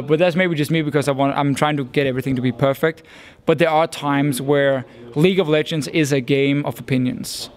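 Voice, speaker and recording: A young man with a Danish accent, an esports caster answering interview questions in an earnest, thoughtful way. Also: on the high side. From Denmark. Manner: earnest, thoughtful, with frequent restarts and fillers.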